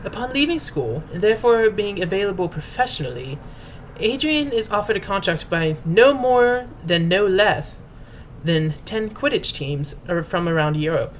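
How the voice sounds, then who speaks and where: A woman's voice: medium pitch, animated, normal speed, slight background noise, low-quality recording. A woman host reading aloud as a dramatic narrator, in a pompous, mock-grand and formal manner. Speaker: host and reader. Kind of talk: theatrical dramatic reading of fan fiction.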